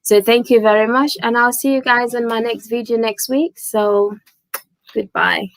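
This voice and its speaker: A woman from Gambia, a Wolof speaker, chats through her live stream with a slightly gravelly, creaky voice. The sound is dry and close. She talks freely, repeats herself and keeps saying "basically". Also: fairly high pitch, steady tone, normal speed, dry recording, poor audio. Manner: chatty, repeats words, says "basically" often. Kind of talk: casual live-stream chat.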